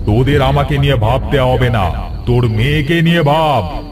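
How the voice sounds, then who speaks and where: A man voicing a menacing, bloodthirsty old tree monster in a cartoon fairy tale, with drawn-out dramatic delivery. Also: medium pitch, music in the background, lightly acted.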